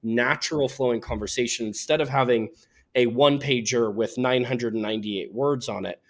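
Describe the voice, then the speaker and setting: A man with a mid-range voice talks as a guest in a business interview. He explains how his company works in a measured, articulate way and comes across as candid. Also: mid-range voice. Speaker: company founder being interviewed.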